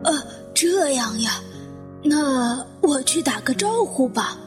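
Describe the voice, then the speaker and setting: Elderly widow played by a woman, courteous and self-effacing, high and unhurried, reverberant radio drama. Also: animated. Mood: courteous, inquiring. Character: polite elderly widow, modest, gracious hotel guest. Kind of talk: Chinese radio drama acting. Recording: long reverb, music underneath.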